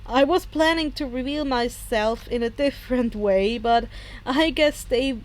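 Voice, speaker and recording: A woman's voice: a little high, a little slow, lightly acted. A woman voicing an awkward, friendly teenage boy streamer, chatty and hesitant with ums. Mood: sheepish.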